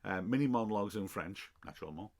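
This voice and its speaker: A man's voice: on the low side, lively intonation, very fast, darkish tone. A middle-aged man with a Welsh accent, a football commentator chatting casually and self-deprecatingly through listener questions on a podcast. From Wales. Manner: chatty and self-deprecating, with frequent ums and restarts.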